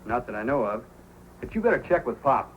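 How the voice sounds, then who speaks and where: A man's voice: medium pitch, big pitch swings, a little fast, a little hiss or hum, close to an everyday voice. An actor playing an eager, earnest young male helper in old-time radio drama style. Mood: helpful. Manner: earnest, pleading. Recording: muffled old radio recording with music.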